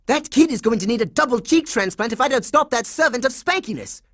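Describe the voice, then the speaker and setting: A man's voice: extremely high pitch, lively intonation, normal speed, fairly bright tone, heavily acted. Cartoon game kid hero, bossy boy agent, smug and dramatic, voice swings all over the place. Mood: determined, musing. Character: bossy, heroic boy secret agent, confident kid leader. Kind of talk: cartoon video game voice acting.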